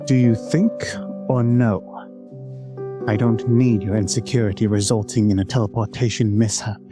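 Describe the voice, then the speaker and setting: A man's voice: fairly low pitch, fairly even intonation, normal speed, over music, close to an everyday voice. A man playing a stern, formal master mage and professor in a fantasy audio drama, articulate and commanding.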